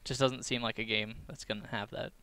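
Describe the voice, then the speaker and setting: A man's voice: mid-range voice, fairly even intonation, fairly quick, sharp tone. A young man co-hosting a podcast in casual chat, rambling with many fillers and laughing easily. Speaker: podcast co-host.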